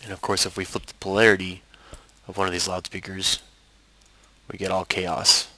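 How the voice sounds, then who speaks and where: A man narrating a software tutorial as an instructor, explaining things step by step. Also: low-pitched, little pitch movement, normal speed, rough recording.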